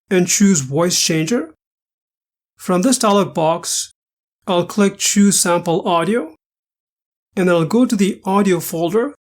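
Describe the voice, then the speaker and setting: A man with a fairly high voice narrates a software tutorial. He works through the material step by step, in a methodical, instructional way that follows a script.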